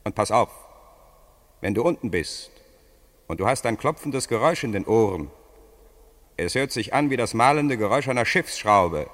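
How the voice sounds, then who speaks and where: An actor playing an experienced diving instructor in 1950s radio drama, stern, practical and instructive. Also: male voice, fairly low pitch, expressive, moderate pace, pauses often, fairly bright tone. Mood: cautioning. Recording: 1950s radio drama, long hall reverb.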